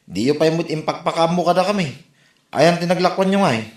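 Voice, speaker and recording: A man's voice: a little high, animated, fast talker, lightly acted. A man playing an exasperated, reproachful grown son with an Ilocano accent in a comedy drama. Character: exasperated grown son scolding his drinking father. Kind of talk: Ilocano comedy radio-style drama acting. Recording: roomy echo.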